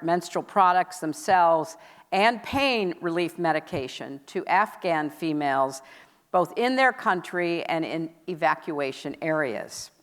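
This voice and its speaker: A woman hosting a conference session, giving a public speech in a measured, deliberate and emphatic way. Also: lowish voice. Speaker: host presenting a commitment at a conference session. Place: large indoor event hall. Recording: echoey hall, long reverb.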